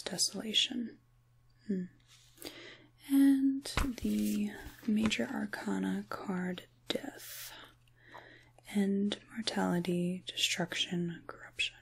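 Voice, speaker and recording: Hushed, slow-speaking witch woman, ASMR. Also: mid-range voice, gravelly, breathy edge. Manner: slow, hushed, half-whispered, with pauses. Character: soothing, mysterious witch reading tarot cards. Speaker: ASMR performer role-playing a witch. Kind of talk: soft-spoken ASMR roleplay, tarot reading. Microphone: close ASMR microphone.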